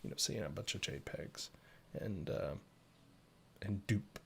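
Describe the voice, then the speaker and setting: A man co-hosting a live show, explaining software updates in a casual, conversational way straight to the listeners. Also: deep voice, a little slow, creaky, a little hiss or hum. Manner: conversational, addresses listeners directly. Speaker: co-host of a tech update show.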